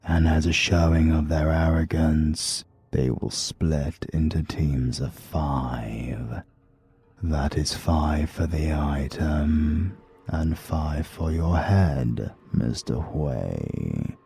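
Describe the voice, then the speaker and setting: A British man narrating an audiobook in a slow, measured, menacing way with a gravelly, husky voice. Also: very deep voice, a little mellow.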